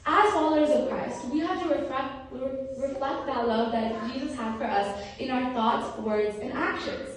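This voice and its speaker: A woman's voice: high-pitched, normal speed, hardly any pauses. A woman presenting a children's Bible lesson to a live audience as a puppeteer. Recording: very echoey room, distant, faint noise.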